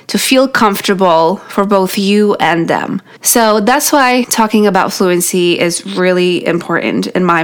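A woman in her thirties with a New York accent, whose first language is Polish, talking through tips on her podcast. She speaks in a friendly, encouraging way, right to the listener, and is often short of breath as she goes. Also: medium pitch. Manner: encouraging, talks straight to the listener. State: out of breath from pregnancy. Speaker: podcast host. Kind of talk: solo podcast teaching tips.

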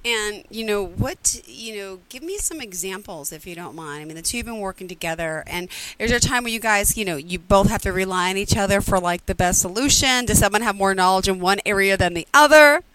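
A woman's voice: mid-range voice, expressive, a little fast, few pauses, a bit bright, a little hiss or hum, low-quality recording. A woman in her forties hosting an interview, chatty as she prompts her guests. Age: 40s. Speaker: interview host. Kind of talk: casual interview.

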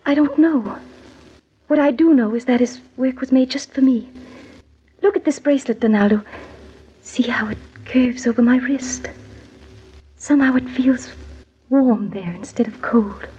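A dull, slightly noisy vintage radio recording of a young bride-to-be. Her voice is fairly high yet very mellow, wistful and fervent, as if lost in rapture. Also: measured pace, pauses often. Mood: enraptured. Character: dreamy, enraptured young bride-to-be of a nobleman. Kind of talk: old-time radio drama acting. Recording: muffled old radio recording, faint noise.

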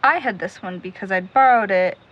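A woman's voice: mid-range voice, a little fast, muffled recording, close to an everyday voice. An actress playing a determined, blunt young woman searching for a friend in a naturalistic audio drama. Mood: shaken, hopeful. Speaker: actor in a supernatural mystery audio drama.

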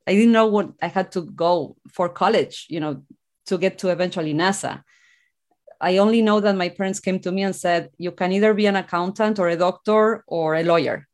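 A woman's voice: lowish voice, a little fast, darkish tone. A middle-aged woman from Argentina, a native Spanish speaker with an Argentine accent, telling her own story conversationally as a podcast guest.

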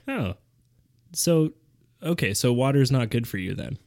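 A man co-hosting a comedy podcast in casual chat, joking with dry deadpan asides. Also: mid-range voice, measured pace, vocal fry. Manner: joking, deadpan asides, puts on a mock announcer voice at the start. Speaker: podcast co-host.

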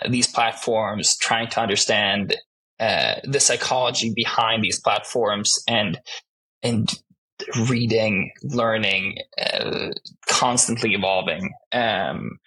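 Swedish-native young man, bright husky voice. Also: on the low side, low-quality recording. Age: 20s. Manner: halting, searching for words, many restarts. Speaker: guest, art director. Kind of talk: casual interview talk.

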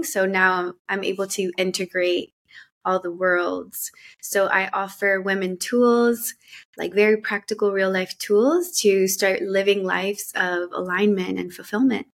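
A woman's voice: medium pitch, fairly bright tone. Earnest Colombian-born woman telling her story. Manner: reflective, earnest, frequent "like" fillers. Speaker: podcast guest, women's mentor. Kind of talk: casual conversational storytelling.

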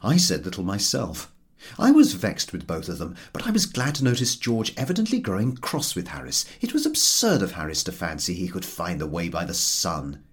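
A man reading a comic novel as an audiobook in expressive scripted narration. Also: sits low, moderate pace, breathy edge. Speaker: audiobook reader.

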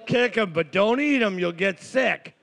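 A man co-hosting a trivia night, throwing in absurd deadpan jokes and egging the teams on. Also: sits high, unhurried, gravelly. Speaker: co-host. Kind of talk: joking banter.

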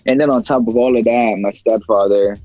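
A man's voice: medium pitch, fairly even intonation, a little fast, no echo, highs cut off, soft background music. A young man from China, a rapper as interview guest, talking casually in a rambling way with many fillers and restarts.

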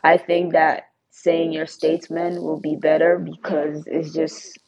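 A woman's voice: low voice, level tone, a little slow, muffled recording, crowd noise. A young woman from Nigeria speaking as a guest in a casual podcast conversation, explaining things conversationally with personal anecdotes. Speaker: interview guest, student.

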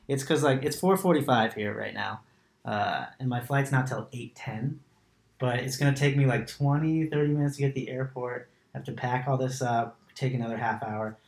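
A man's voice: mid-range voice, moderate pace, gravelly. A young man co-hosting a podcast in casual chat, laid-back and laughing often. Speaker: podcast co-host. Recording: echoey room.

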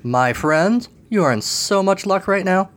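Guy doing a pushy over the top fire salesman creature, high and theatrical. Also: expressive, unhurried. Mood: theatrical salesy. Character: oily grandiose male fire salesman creature.

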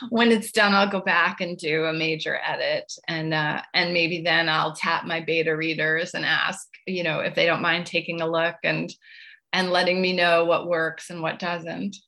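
A woman with a slightly low voice speaks as a guest author. Her manner is polite and modest. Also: flat delivery, normal speed, bright, forceful tone. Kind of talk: casual interview chat, then reading own writing aloud.

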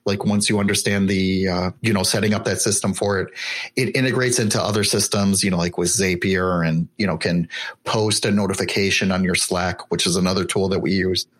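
A man talking in a relaxed way as an interview guest about running a remote team, with lots of "you know" and "right?". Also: sits low, brisk, breathy edge. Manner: conversational, with many fillers. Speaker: remote business owner, guest. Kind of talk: casual interview chat.

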